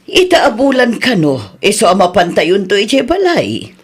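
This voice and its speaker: An actress playing a middle-aged village aunt in an Ilocano radio comedy-drama, chatty and expressive, with a Filipino accent. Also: female voice, mid-range voice, measured pace, husky, exaggerated voice.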